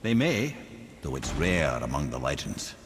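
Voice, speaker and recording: A wry old Scottish storyteller in a video game. His talk is colourful and chatty, swinging widely in pitch. There is clear background noise around the voice. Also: sing-song, unhurried, full character voice. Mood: wry, musing. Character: wry, talkative old male storyteller and advisor. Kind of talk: video game character acting.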